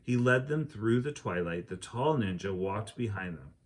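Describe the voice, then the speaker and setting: A man with a fairly low voice reads a children's adventure book aloud. He tells it expressively and acts out the characters' lines as he goes. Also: animated, normal speed. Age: adult. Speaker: teacher reading aloud.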